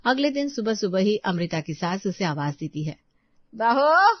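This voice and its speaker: A woman's voice: mid-range voice, big pitch swings, moderate pace, slightly rough, no room sound, dull audio. A woman reading scripted narration for a moral story as the storyteller.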